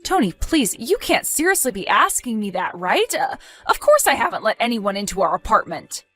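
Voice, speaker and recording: A woman's voice: fairly high pitch, very animated, normal speed, a bit bright, soft background music. A woman acting an evasive wife in a dramatized story, sweet but easily flustered and indignant, with theatrical expression. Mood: defensive, indignant. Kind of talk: dramatized text-message story narration.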